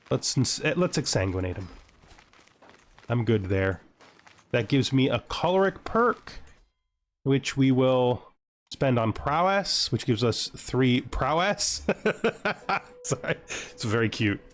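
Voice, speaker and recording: A man's voice: medium pitch, animated, slow pace, pauses often. A man giving casual let's-play commentary, thinking aloud and wryly addressing the game's characters. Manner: wry, talks to game characters. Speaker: gaming video host.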